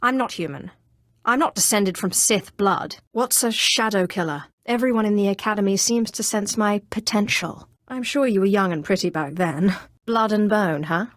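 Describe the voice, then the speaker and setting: A woman performs a young Sith sorceress from a video game, once a slave and now imperious. She speaks in a mid-pitched female voice with cool, dry mockery and haughty composure. The sound is dry, with no room to it. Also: dry recording, close to an everyday voice. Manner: cool, imperious, dryly mocking delivery. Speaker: video game player character. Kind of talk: scripted video game dialogue.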